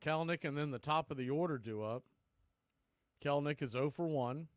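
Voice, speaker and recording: A man's voice: brisk, no room sound. Dry, close-miked male baseball announcer. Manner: clipped short phrases between pitches. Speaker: play-by-play baseball announcer and stream host. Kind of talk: live radio-style play-by-play commentary.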